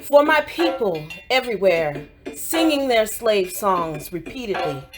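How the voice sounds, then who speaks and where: A woman reciting poetry in a solemn, dramatic style, with deliberate, measured phrasing and pauses between short phrases. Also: a little high. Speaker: poetry reader. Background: African drum music.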